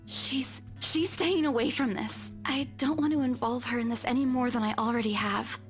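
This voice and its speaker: High-voiced, guilt-ridden young woman, played by an actress. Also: fairly even intonation, soft background music, lightly acted. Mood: anguished, insistent. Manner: hesitant, halting, hushed. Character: guilt-ridden, withdrawn young woman, stubbornly protective of friends. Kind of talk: visual novel game acting.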